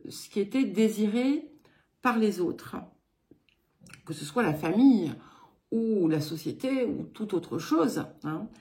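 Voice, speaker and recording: A woman from England giving a card reading in French with a slight English accent, speaking in an unhurried, hesitant way with many pauses. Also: mid-range voice, a little mellow. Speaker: card reader giving a weekly guidance. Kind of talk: slow spoken tarot-style reading.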